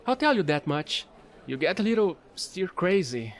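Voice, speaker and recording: Offbeat fallen angel space trader played by a man for audio roleplay: high, very lively pitch, eager, rambling, playful. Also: moderate pace, slight background noise. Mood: wistful. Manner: rambling, playful, pleading, casual slangy talk. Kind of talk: audio roleplay monologue addressed to the listener.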